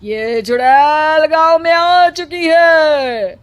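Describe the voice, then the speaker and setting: Cartoon village elder, acted by a woman, high voice, slow drawn-out delivery, blustering and alarmist. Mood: ominous, alarmist. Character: village headman and fake holy man, blustering, alarmist. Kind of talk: Hindi cartoon story dialogue.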